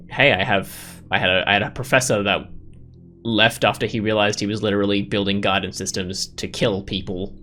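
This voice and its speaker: A man's voice: fairly low pitch, a bit bright. A man hosting a gaming video, giving casual commentary and reading game text aloud in a reflective way.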